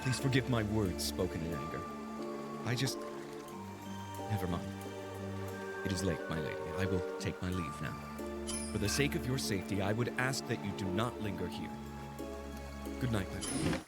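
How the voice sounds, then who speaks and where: A man's voice: deep voice, animated, normal speed, long pauses, over loud music. A man voicing a proud, possessive royal fiancé in a game cutscene, speaking formally. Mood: stern. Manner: formal, stern and commanding.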